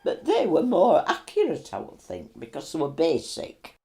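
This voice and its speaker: A woman's voice: sits low, wildly swooping pitch, vocal fry, warm tone. A woman, a former mill worker reflectively recalling daily life. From West Yorkshire. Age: 80s. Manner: reflective, unhurried storytelling. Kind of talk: interview reminiscence. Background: violin music.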